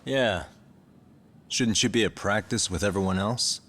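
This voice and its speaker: A man's voice: fairly low pitch, a little slow, fairly bright tone, a little hiss or hum. A man playing a composed adult detective in a video game dub, dry, unruffled and wryly skeptical. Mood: wry, suspicious. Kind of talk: video game cutscene English dub acting.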